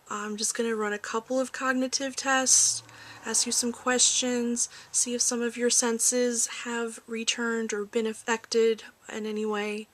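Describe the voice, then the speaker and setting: A woman doing a soft-spoken ASMR roleplay as a calm research doctor, gentle, reassuring and a little hesitant, in a home recording. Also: fairly high pitch. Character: calm corporate research doctor giving a checkup. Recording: faint background noise, home ASMR recording.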